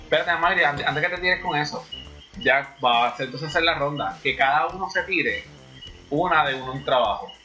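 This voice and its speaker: Bright-voiced Puerto Rican man hosting. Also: fairly high pitch, moderate pace, a little hiss or hum. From Puerto Rico. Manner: animated, acts out quoted lines. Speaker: podcast host. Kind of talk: casual chat, storytelling.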